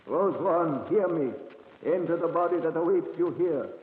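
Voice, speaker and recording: A man's voice: fairly high pitch, normal speed, natural voice. An actor playing a solemn male accomplice who commands spirits in an old radio mystery, with theatrical intensity. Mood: solemn, commanding. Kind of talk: 1940s radio mystery acting. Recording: cavernous, noisy, tinny old radio recording.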